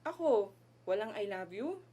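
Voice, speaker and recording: A woman's voice: medium pitch, very animated, unhurried, mellow. A woman playing a sulky, worrying, loving mother in an amateur radio drama, fretful and nagging with affection.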